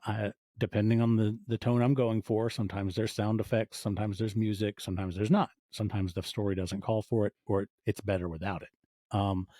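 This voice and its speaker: A man's voice: fairly low pitch, dark tone, no echo, clean studio sound. A man in his forties speaking as a podcast guest, telling stories casually and acting out little quoted asides in conversation. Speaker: podcast guest, audio fiction producer. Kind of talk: casual interview storytelling.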